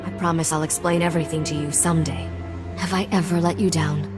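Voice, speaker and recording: A woman's voice: deep voice, fairly even intonation, quick pace, big-room sound, music in the background, natural voice. A woman playing a guarded, confident young smuggler's associate in a video game, controlled and matter-of-fact. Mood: regretful.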